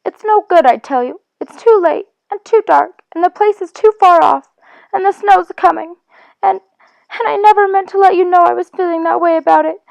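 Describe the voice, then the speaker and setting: A woman's voice: normal speed, gravelly, a little mellow, no room sound. A woman doing a sobbing little mole. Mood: pleading. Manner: high wailing, broken by sobs. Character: tearful sobbing male mole, small and homesick. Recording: dry, dull lo-fi.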